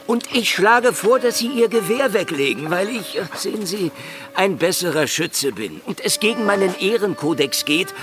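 An actor playing a sly, mocking private detective with dry mock courtesy in a German radio drama. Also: male voice, high-pitched, expressive, moderate pace, music in the background. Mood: mock-courteous, coaxing. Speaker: lead actor in a radio play.

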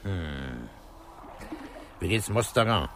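Casting: gruff, unhurried police chief with a very low voice, terse orders, noisy vintage radio drama. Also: male voice, very animated, fairly bright tone, full character voice. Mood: dry, composed. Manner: terse, authoritative, dryly unhurried. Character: calm, gruff, commanding middle-aged police commissioner. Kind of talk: 1960s German radio crime drama acting.

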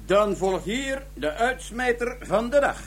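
An actor playing a dry, insistent detective reading out a report in a Dutch radio drama. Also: male voice, very high voice, animated, fairly bright tone, a little hiss or hum, exaggerated voice. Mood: teasing reveal. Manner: deliberate, dry.

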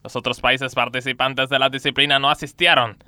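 A man's voice: medium pitch, level tone, brisk, sharp tone, smooth, dry recording, clean studio sound. A man voicing an earnest, emphatic Bulgarian woman greengrocer in a radio comedy sketch. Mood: earnest, proud.

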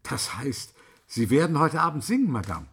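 An actor in a radio play voicing an irascible, authoritative opera director with a volatile manner. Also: male voice, mid-range voice, big pitch swings, moderate pace, a bit bright, soft background music. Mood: wary, polite. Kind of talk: German radio play acting.

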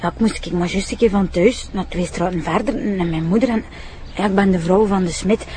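Woman playing flustered village woman, Flemish accent, mid pitch, chatty busybody, homely, audio drama, slight noise. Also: brisk, warm tone, lightly acted. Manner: rambling, homely. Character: flustered, gossipy village woman, a smith's wife. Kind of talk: character acting in audio drama. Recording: faint background noise.

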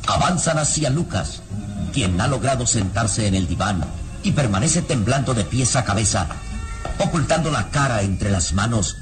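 A man narrating a vintage radio serial in a solemn, deliberate, theatrical style. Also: mid-range voice, animated. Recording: old radio serial with loud music bed.

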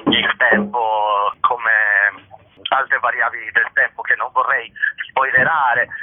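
Man, narrow telephone sound, husky voice, hesitant self-deprecating interview chat. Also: medium pitch. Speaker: stage actor and writer, interview guest. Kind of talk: casual interview talk about own work. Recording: muffled narrow-band phone line.

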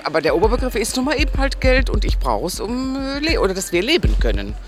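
A man with a high voice and extremely swooping intonation answers casually. He halts, repeats himself and searches for words, with music clearly behind him. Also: wildly swooping pitch. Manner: halting, searching for words, repetitive. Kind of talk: casual street interview.

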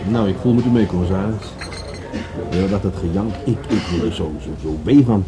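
An actor playing a grumbling police adjutant in a Dutch radio drama, halting and deferential to his superior. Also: deep voice, music in the background, close to an everyday voice. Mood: grumbling, hesitant.

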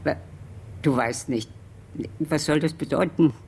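Creaky, low-voiced old lady. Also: measured pace, a little mellow. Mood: shocked. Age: older. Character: talkative old lady visiting from the countryside. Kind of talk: radio drama acting.

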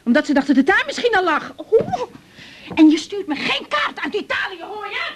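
Wounded grown daughter, radio drama: high, very animated pitch, emotional, exasperated, reproachful. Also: female voice, moderate pace. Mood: hurt, exasperated. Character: hurt, exasperated grown daughter confronting her father.

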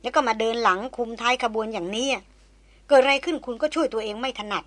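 A woman reading the part of a blunt, insistent former army officer in an audiobook. He talks frankly and with teasing persistence, in a bright, clear voice. The sound is dry and close. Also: on the high side, fast, no room sound. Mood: concerned.

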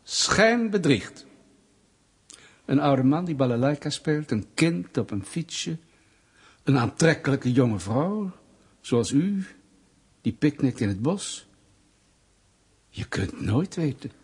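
An actor playing a mock-polite, menacing Soviet interrogator in a radio play, speaking deliberately and insinuatingly with pointed pauses. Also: male voice, on the high side, sing-song, slightly rough, full character voice. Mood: quietly menacing.

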